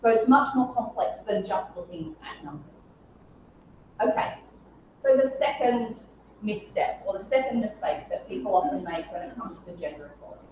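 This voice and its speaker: A middle-aged woman professor with a slightly scratchy voice giving an academic conference lecture, explaining research clearly with occasional ums. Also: medium pitch, expressive, moderate pace, darkish tone. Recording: distant, echoey, dull-sounding room.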